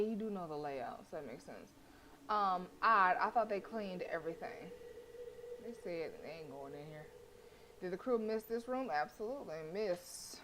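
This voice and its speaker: A woman's voice: fairly low pitch, a little hiss or hum. A woman streamer reading a horror visual novel aloud, breaking off into joking, swearing asides. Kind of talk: reading game text aloud with asides to chat.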